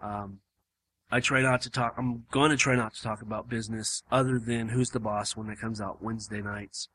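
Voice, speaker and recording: A man hosts a relaxed podcast in a room at home. His voice is hoarse and wearing thin, yet it keeps a bright, forward edge. He rambles and restarts often, filling the gaps with ums. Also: lowish voice, moderate pace, rough recording. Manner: rambling, with many fillers and restarts. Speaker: podcast host. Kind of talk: casual chat.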